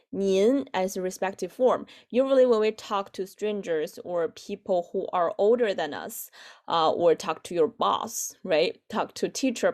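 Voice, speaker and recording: A woman in her twenties with a Chinese accent and native Chinese, teaching an online language lesson haltingly, repeating phrases for learners. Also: medium pitch, darkish tone. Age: 20s. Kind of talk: online lesson, explaining with examples.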